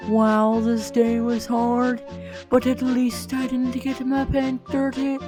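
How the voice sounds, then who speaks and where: Girl doing a fussy show-off cartoon engine, high voice, slow and proud, music behind. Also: completely flat delivery. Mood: self-satisfied. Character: vain, fussy male talking steam engine, proud of looks. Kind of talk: amateur dramatic reading of a children's story.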